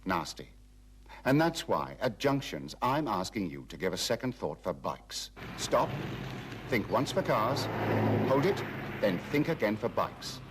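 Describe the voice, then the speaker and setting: A British man with a husky voice presenting road safety advice, speaking directly and emphatically to the listener. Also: on the low side, big pitch swings, a little slow, recorded from a distance, slight background noise.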